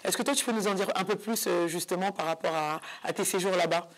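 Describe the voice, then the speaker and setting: A woman's voice: fairly low pitch, quick pace, poor audio. Hesitant woman hosting a talk show. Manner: hesitant, searching for words, repeating phrases. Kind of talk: conversational interviewing.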